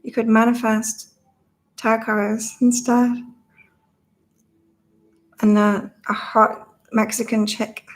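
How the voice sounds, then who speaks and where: Slightly croaky woman chatting on a livestream. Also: a little high, level tone, slow pace, long pauses, rough recording. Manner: chatty, reads viewer comments aloud, laughs often. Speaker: psychic reader and channel host. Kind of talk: casual livestream chat with card readings.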